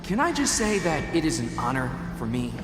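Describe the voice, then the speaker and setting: An actor playing a nervous, starstruck scientist in a video game, stammering and halting with meek deference. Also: male voice, fairly high pitch, sing-song. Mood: awed, flustered. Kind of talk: video game character acting. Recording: echoey large hall, loud music.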